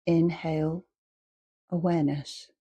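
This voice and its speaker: A woman leading a guided meditation as a teacher, speaking calmly and in short, measured phrases with long pauses. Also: sits low, slightly rough.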